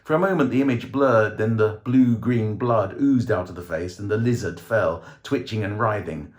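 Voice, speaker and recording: A man reading a short story as dramatic scripted narration, with theatrical, measured phrasing. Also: fairly low pitch. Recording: roomy, echoing voice recording.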